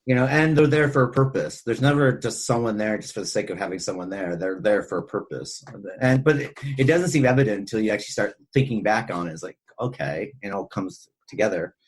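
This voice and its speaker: A man with a husky voice talking casually and ramblingly as a podcast host discussing film. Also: lowish voice, expressive, a little fast, hardly any pauses.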